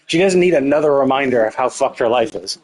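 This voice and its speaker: A man's voice: mid-range voice, lively intonation, fast, a little mellow, poor audio. A man streaming a story game, thinking aloud in a casual, rambling, sarcastic way and swearing freely. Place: indoors, livestream setup. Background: music.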